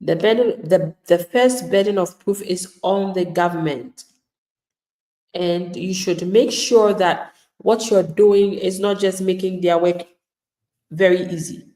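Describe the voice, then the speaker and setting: A woman's voice: fairly low pitch, takes it slowly, warm tone, reverberant. A woman immigration attorney giving live legal advice to viewers in an emphatic, plain-spoken way, acting out the replies of people she describes.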